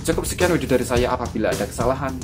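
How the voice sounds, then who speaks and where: A man's voice: medium pitch, expressive, rapid-fire. A man with a thick Javanese accent who hosts a channel, giving commentary that lectures and asks rhetorical questions. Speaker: YouTube channel host. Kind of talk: commentary after a reaction clip. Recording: music playing underneath. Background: guitar music.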